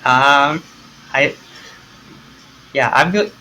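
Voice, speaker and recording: A man talks casually to a live stream as he hosts a game. His voice is bright and mid-pitched, and his delivery rambles and halts. Noise sits faintly behind the voice. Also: extremely slow, rough recording.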